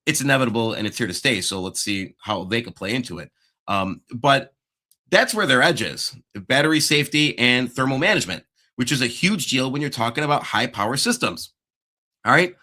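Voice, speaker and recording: A man's voice: mid-range voice, animated, fairly quick, bright, forceful tone. A man hosting a casual stock analysis livestream, chatty and self-interrupting, saying he is losing his voice. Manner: chatty, self-interrupting, checks the live chat. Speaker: stock market show host.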